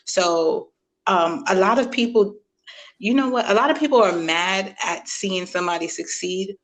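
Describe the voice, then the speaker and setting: A woman's voice: medium pitch, moderate pace, rough recording. A woman hosting a podcast interview, chatty and laughing often, acting out quoted reactions in casual talk. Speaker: podcast host.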